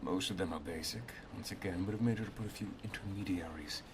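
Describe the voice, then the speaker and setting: A man playing an affectionate, teasing wizard master in an ASMR roleplay, speaking softly, intimately and in a measured way. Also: low voice, background noise. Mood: instructive, affectionate.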